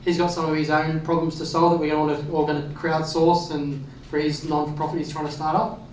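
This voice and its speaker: Flat-voiced man, echoey room. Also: on the high side, a little fast. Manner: casual, blunt, sprinkled with swearing. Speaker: meetup co-organiser. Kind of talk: event announcements. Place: event space. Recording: echoey room from far off with faint noise.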